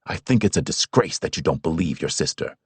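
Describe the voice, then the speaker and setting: A man's voice: a bass voice, animated, fairly quick, husky, no echo, pitched below the performer's own voice, exaggerated voice. A man reading aloud, voicing a stern, commanding older father who rules his family firmly.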